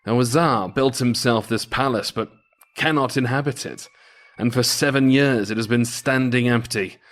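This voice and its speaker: A man's voice: medium pitch, normal speed, a bit bright, close to an everyday voice. A man voicing a gossipy folktale innkeeper who explains things in ominous tones. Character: male innkeeper, gossipy bearer of dark local tales.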